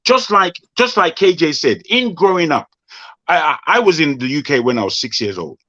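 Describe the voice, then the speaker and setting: Reflective man, bright voice, dull recording. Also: fairly high pitch, expressive. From Nigeria. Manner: reflective, halting, restarts. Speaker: host. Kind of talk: conversational discussion. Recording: dull lo-fi sound.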